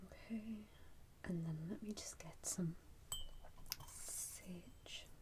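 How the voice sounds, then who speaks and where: A woman doing an ASMR roleplay as a shopkeeper, whispering breathily and gently reassuring the listener as she narrates each step. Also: fairly low pitch, slow pace. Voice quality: breathy, mostly unvoiced whisper. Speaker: ASMR roleplay performer playing a soup shop keeper.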